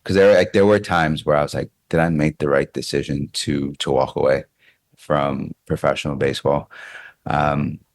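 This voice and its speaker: A man's voice: very deep voice, fairly even intonation, moderate pace, no echo. An American man in his thirties talking casually and reflectively as an interview guest, often repeating phrases as he thinks aloud. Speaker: baseball coach interviewed as podcast guest.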